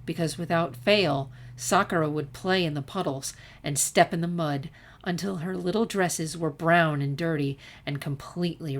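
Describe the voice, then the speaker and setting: A woman narrating a written story as a fan fiction reader. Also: sits low.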